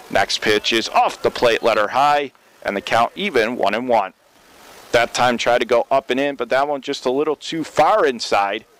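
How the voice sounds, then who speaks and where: A man's voice: medium pitch, a bit bright, rough recording. A man calling a baseball game live as a radio play-by-play commentator. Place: open-air baseball stadium. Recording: clear outdoor ballpark ambient noise.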